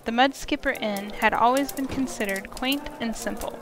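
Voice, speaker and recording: A woman's voice: on the high side, normal speed. A woman reading scripted fantasy story narration as the narrator of a visual novel. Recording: voice under prominent music.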